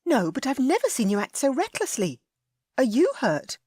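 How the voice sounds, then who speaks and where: A woman's voice: high voice, huge pitch swings, moderate pace, slightly rough, no echo, studio quality, pitched above the performer's own voice, heavily acted. Woman voicing a proud, indignant young lady. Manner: sharp, huffy retorts.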